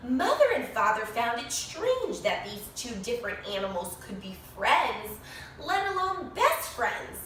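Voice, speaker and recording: A woman reading a picture book to young children in an expressive, sing-song way, pausing to explain things and ask questions. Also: on the high side. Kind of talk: animated read-aloud story for young children. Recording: very echoey room, distant mic, faint noise.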